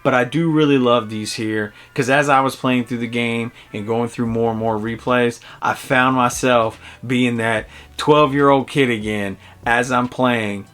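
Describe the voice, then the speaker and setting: A man's voice: mid-range voice, fairly even intonation, a little slow, few pauses, fairly bright tone. A man giving a casual, enthusiastic video game review in a conversational way. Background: game music behind the voice.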